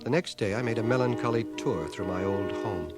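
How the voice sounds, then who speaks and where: An actor playing a wry returned soldier, narrating his memories in a measured, literary radio-drama style. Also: lowish voice, lightly acted. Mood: wry, reflective. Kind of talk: scripted first-person radio drama narration. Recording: 1980 radio drama cassette, music and crowd behind. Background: music and crowd.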